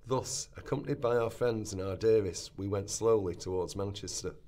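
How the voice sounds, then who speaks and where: A man plays an earnest Victorian chronicler giving eyewitness testimony. His voice is fairly low, and he reads in a solemn, formal, measured radio drama style. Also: warm tone, natural voice. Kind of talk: dramatic reading of historical testimony.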